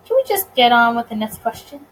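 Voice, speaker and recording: A woman's voice: on the high side, very animated, fairly quick, rough recording. A woman voicing an earnest, easily flustered male police detective, hesitant and sincere, in a visual novel.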